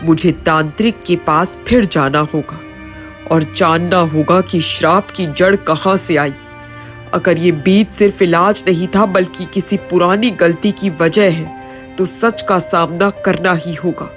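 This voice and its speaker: A woman voicing an earnest, troubled poor village father in an animated moral story. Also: on the low side, measured pace, voice pushed down, close to an everyday voice. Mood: grim, determined. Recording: music behind the voice.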